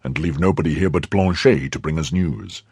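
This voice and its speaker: A man voicing a grave, laconic gentleman in a storybook, dry and understated, almost muttering. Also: deep voice, sing-song, normal speed, vocal fry, breathy edge, dry recording, exaggerated voice. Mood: grave, calm.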